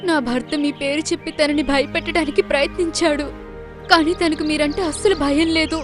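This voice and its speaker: A woman's voice: high voice, steady tone, brisk, music in the background, close to an everyday voice. A woman voicing a pleading, deferential mother deer in a children's cartoon. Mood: distressed, pleading. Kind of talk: Telugu children's cartoon voice acting.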